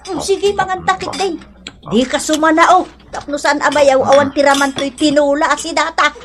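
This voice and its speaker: A woman's voice: sits high, steady tone, moderate pace, fairly bright tone, a little hiss or hum. A woman with an Ilocano accent playing an affectionate, bantering housewife in a radio comedy drama. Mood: chatty. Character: affectionate, bantering housewife serving her husband.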